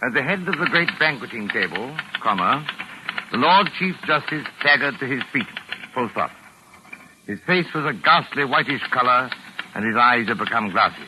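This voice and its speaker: An actor playing a pompous, touchy mystery novelist with precise, exasperated formal diction, in 1940s radio drama style. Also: male voice, medium pitch, animated, moderate pace, noisy background, close to an everyday voice. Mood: dictating, brisk. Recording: raspy vintage 1940s radio recording.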